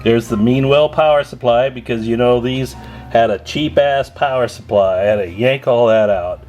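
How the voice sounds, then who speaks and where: A man's voice: medium pitch, normal speed, darkish tone. Casual man giving a hands-on demo, music behind. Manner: informal, chatty, folksy slang. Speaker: host of a CB radio repair video.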